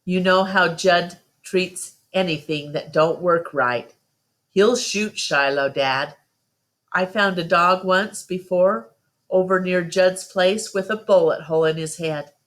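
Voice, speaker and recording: A woman's voice: fairly low pitch, slow pace, a bit bright. A woman reading the part of an earnest, stubborn eleven-year-old country boy in a storybook, pleading in folksy phrases.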